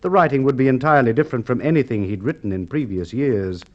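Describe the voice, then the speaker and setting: An actor playing a shrewd, methodical police inspector in an old radio crime drama, deliberate and authoritative. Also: male voice, mid-range voice, close to an everyday voice. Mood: accusing, certain. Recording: dull, lo-fi vintage radio recording.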